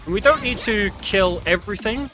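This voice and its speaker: Man, slightly high voice, casual gameplay commentary, explanatory, clear music in the background. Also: animated, normal speed. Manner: explanatory, speaking as "we". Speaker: speedrunner and game commentator. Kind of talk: casual video game walkthrough commentary. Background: game music.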